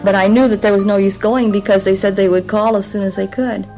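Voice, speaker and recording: A woman with a medium-pitched voice recalls events in a plain, hesitant way. It is a 1950s radio interview tape with faint music underneath. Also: steady tone, brisk, mellow. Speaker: interviewed parent. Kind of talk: unscripted recollection in interview.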